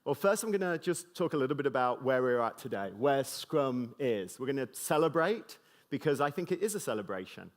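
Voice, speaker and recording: A man's voice: medium pitch, sing-song, a little fast. A middle-aged British man with a slight mid-Atlantic tinge gives a conference keynote to a live audience. He jokes loosely and fills his talk with fillers and restarts. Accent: British English with a slight mid-Atlantic tinge. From Britain.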